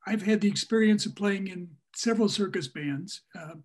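An elderly man speaks as a panelist on a video call from a room at home. His voice sits high for a man, and he talks in a measured, deliberate way. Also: darkish tone. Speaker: panelist, circus music society representative. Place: small room at home, indoors. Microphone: computer mic on a video call.

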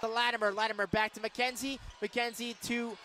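A young man doing live radio play-by-play of a basketball game in short, punchy, excitable phrases that can rise to shouts. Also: very high-pitched, moderate pace. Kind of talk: live sports commentary. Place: courtside in a college basketball arena. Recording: loud arena crowd and court noise. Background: crowd, bouncing basketball.

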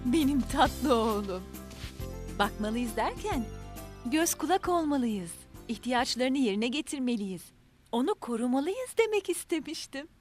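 A woman playing a gentle, caring mother in a children's cartoon, explaining things tenderly and patiently. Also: sits high, sing-song, unhurried, soft background music. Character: gentle, caring young mother of a little boy.

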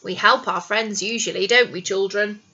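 A middle-aged woman, a primary teacher reading a picture book to young children in an animated, explanatory way with questions to them. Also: medium pitch, normal speed, dull audio. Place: small indoor classroom. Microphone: webcam or device at arm's length.

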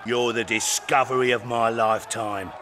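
An actor playing a boastful, scheming fight promoter in a video game, with a grandiose way of talking. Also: male voice, mid-range voice, fairly even intonation, moderate pace, a crowd in the background, noisy background, close to an everyday voice. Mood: excited, showy. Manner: grandiose, speaks of himself in third person.

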